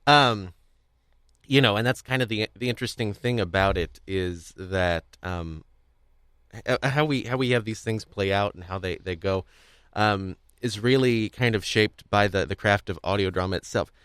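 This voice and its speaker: A young man casually hosting a podcast, talking in a rambling, halting way with frequent restarts. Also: fairly low pitch, a bit bright, clear, resonant tone, no echo, studio quality. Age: young adult. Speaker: podcast host.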